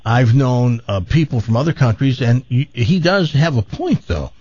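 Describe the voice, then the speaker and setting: Husky, animated male radio host. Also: mid-range voice, moderate pace, dry recording. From American South. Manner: animated storyteller. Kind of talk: casual radio chat. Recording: dull lo-fi broadcast audio.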